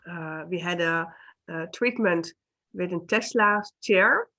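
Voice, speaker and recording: A woman speaks slowly and haltingly, reaching for English words with many pauses. Her voice sits a little low for a woman. She talks casually over a video call. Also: sharp tone. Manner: hesitant, searching for words, laughs often. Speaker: co-host, healer. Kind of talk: casual chat.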